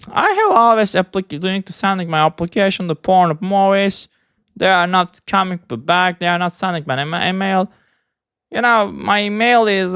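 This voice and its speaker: A man in his twenties from Turkey with a Turkish accent, speaking English as a second language in a halting, rambling personal monologue. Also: high voice, a bit bright, clean tone, no echo, studio quality. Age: 20s. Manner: halting, searching for English words. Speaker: vlogger.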